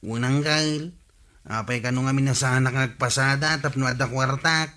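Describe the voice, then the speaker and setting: A man's voice: mid-range voice, steady tone, moderate pace, pushed and bright, close to an everyday voice. A man with an Ilocano accent playing an idle, complaining nephew in a radio comedy drama.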